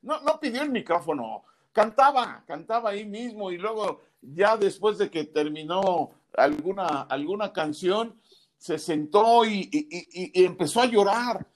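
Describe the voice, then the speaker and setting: A man's voice: high-pitched, expressive, a little slow. A man, a sports journalist, telling earnest stories about a late colleague in conversation. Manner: storytelling, earnest.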